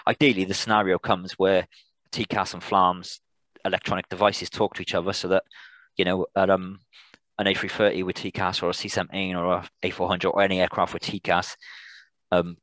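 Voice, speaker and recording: A British man informally answering questions as a presenter on a video call, in a halting way with repeated words and restarts. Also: low voice, a bit bright, dry recording, poor audio. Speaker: air traffic controller, guest presenter.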